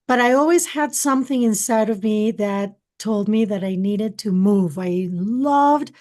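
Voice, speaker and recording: A woman's voice: mid-range voice, a little slow, darkish tone. A middle-aged woman from Costa Rica whose first language is Spanish, telling her life story earnestly as an interview guest. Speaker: guest, spiritual channeler. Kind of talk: interview answers, personal story.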